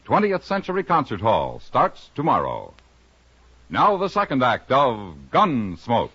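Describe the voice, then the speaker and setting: A man's voice: mid-range voice, huge pitch swings, unhurried, pushed and bright, a little hiss or hum. An American man reading scripted announcements as a 1950s radio network announcer. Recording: dull, dry vintage radio broadcast.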